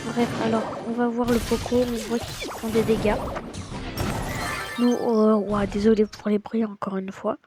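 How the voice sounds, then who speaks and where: A woman's voice: a little high, level tone, normal speed. A woman with a slight cold giving a casual, hesitant voice-over of her gameplay, full of fillers and restarts. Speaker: gaming channel host. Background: loud game music.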